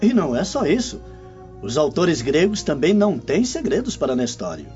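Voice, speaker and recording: A man's voice: on the high side, huge pitch swings, normal speed, a little mellow. An actor playing a flamboyant, enthusiastic Roman nobleman in a radio drama, with a theatrical manner. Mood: admiring. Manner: effusive, theatrical. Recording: dull lo-fi audio with music.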